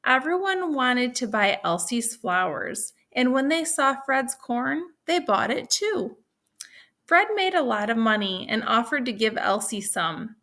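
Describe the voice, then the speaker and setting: A woman teaching young children, reading a story aloud and explaining it in a deliberate, instructional way. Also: fairly high pitch, lively intonation, sharp tone.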